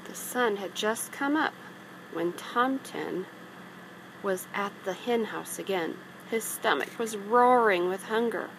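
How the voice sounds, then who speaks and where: A woman reading a children's story aloud in an expressive narrating style. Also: mid-range voice, slow. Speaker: storybook reader. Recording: clearly noisy background.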